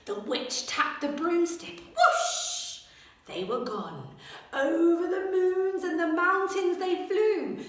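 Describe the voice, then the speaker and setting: A woman teacher reads a rhyming children's story aloud in a bright, lively voice that swoops up and down. She takes it at an unhurried pace, and the echoey room rings around her. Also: very high-pitched, distant mic. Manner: expressive, animated storytelling.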